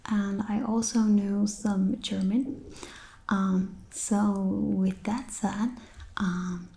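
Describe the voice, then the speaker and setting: Czech accent lady soft-spoken whispery asmr lesson, mellow and slow, lots of ums. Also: mid-range voice, flat delivery, creaky. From Czech Republic. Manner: hesitant, many ums and likes. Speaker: ASMR host.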